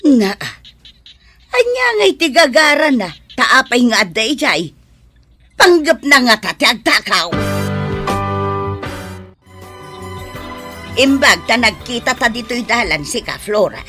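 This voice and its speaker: A suspicious Filipino gossip in a radio drama. Her highish voice is forceful and lively, scolding and accusing. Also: unhurried, soft background music, full character voice. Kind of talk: Ilocano radio drama acting.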